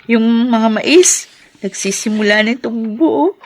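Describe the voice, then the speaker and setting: A woman playing an affectionate, playful young daughter in a radio drama, with sweet, expressive delivery. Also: on the high side, unhurried, a little hiss or hum, lightly acted. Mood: warm, gentle. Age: young adult.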